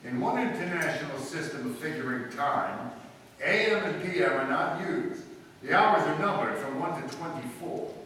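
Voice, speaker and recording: A man acting a confident, sharp detective explaining a solution in a live old-time radio play. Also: on the high side, animated, a little hiss or hum. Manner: brisk, explaining, self-assured. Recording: echoey hall, mic heard from far.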